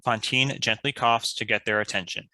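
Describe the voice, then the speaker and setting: A man's voice: on the low side, fairly even intonation, normal speed, pushed and bright, no echo, poor audio. A man reading stage directions as scripted narration for a table read.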